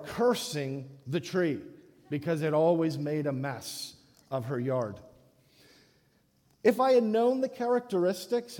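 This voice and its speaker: A man from the Dominican Republic preaches a sermon, his voice sitting a little high with a warm, mellow tone. He halts and restarts his phrases often as he speaks. The church around him adds a long, echoing reverb. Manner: halting, many restarts. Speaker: guest preacher. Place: church sanctuary. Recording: echoey church hall.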